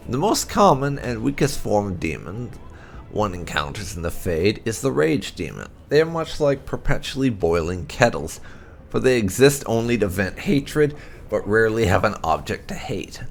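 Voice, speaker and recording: A man narrating a video game playthrough, reading lore aloud in an expressive, measured storytelling way with emphatic phrasing. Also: fairly low pitch, vocal fry. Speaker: gaming channel narrator.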